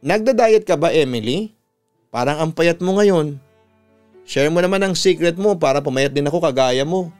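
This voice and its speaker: A man voicing a concerned, frank young woman with a Filipino accent, gently scolding and questioning her friend in a dramatized story. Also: on the high side, expressive, normal speed, clear, resonant tone, soft background music, lightly acted. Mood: concerned prodding.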